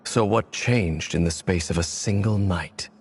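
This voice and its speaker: Give a brooding old-world swordsman a very low, measured voice that sounds heavy with memory, like someone who has carried a betrayal for centuries. He speaks slowly and gravely in game dialogue, each word weighted. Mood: solemn. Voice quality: deep, measured.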